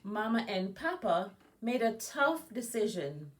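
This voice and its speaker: A woman's voice: medium pitch, very animated, unhurried. A woman from Jamaica, an education nonprofit director, reading aloud for a children's story time with informal asides.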